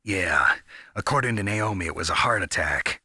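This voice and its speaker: A low, husky male voice with a hard, forceful edge. He is a gruff veteran soldier in a video game, terse and serious, recorded dry and close. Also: expressive, normal speed, no room sound, exaggerated voice. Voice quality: gravelly, husky. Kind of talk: video game radio dialogue.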